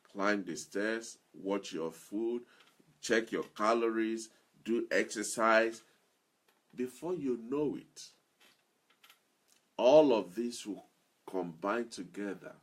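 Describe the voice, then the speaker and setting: Slow, husky-voiced male motivational speaker. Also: on the low side. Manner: earnest, repeats key phrases for emphasis. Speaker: self-improvement speaker. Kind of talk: motivational talk to listeners.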